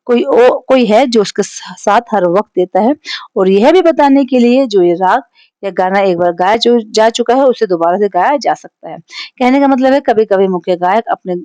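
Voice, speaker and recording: A woman's voice: medium pitch, dark tone, dry recording. A woman with a Hindi accent teaching a class, explaining a poem line by line in a patient, explanatory way and checking in with her listeners often. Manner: explanatory, frequent "theek hai" check-ins.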